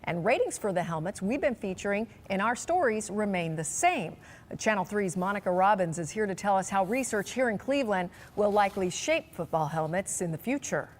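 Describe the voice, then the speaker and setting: A woman's voice: mid-range voice, expressive, brisk. American lady news anchor reading the script, medium voice, faint noise behind.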